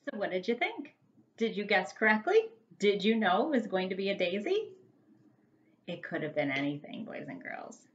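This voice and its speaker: A woman who teaches first grade reads a picture book to young children in an animated, emphatic storytelling manner. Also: medium pitch, moderate pace, highs cut off.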